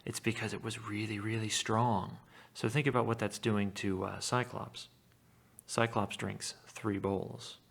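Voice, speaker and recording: A man's voice: on the low side, flat delivery, a little slow, vocal fry. A man giving a read-aloud lesson as a teacher, explaining in an informal, wry way.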